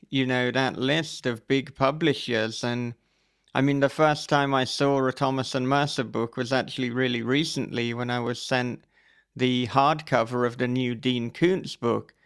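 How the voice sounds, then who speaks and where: A man hosting a podcast, talking conversationally in measured, considered phrases. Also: mid-range voice, fairly bright tone, clear, resonant tone. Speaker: podcast host. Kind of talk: casual interview conversation.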